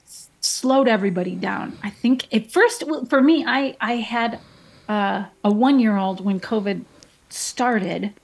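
A woman's voice: mid-range voice, a little mellow, breathy edge. A woman in her thirties, a painter being interviewed, telling stories in a chatty way with plenty of fillers and restarts. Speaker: painter guest. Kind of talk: casual interview chat.

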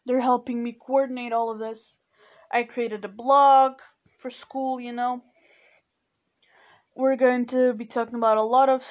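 A woman's voice: fairly high pitch, darkish tone. A teenage girl from Portugal, a native Portuguese speaker, rambling sleepily in a casual personal chat and saying "you know" a lot. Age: teens. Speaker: podcast host.

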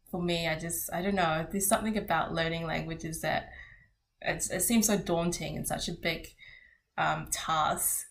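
A young woman with a slightly low voice chats casually. She grew up speaking Cantonese with her parents, and she often pauses on "um" and breaks into giggles as she talks. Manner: hesitant, many ums, lively and giggly. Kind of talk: casual chat.

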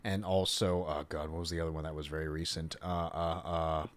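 A man's voice: extremely low pitch, flat delivery, a little fast. A man hosting a casual podcast interview, rambling with frequent restarts and laughter. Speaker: podcast host.

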